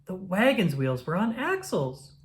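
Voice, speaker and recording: A woman narrates a children's picture book in a fairly low voice. Her pitch moves in lively swoops, and she phrases things deliberately and expressively for young listeners. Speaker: storybook reader. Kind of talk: children's read-aloud narration.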